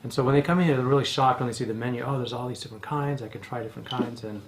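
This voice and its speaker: A man's voice: medium pitch, rapid-fire, heavy background noise. A man with an American accent speaking casually as a bar co-founder, explaining things in an interview. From United States.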